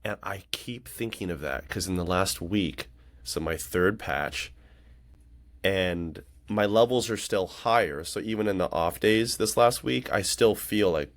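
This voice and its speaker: A man's voice: low-pitched, measured pace. A man in his late thirties chatting casually on a podcast in an earnest, reflective, rambling way. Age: late 30s. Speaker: podcast conversation partner.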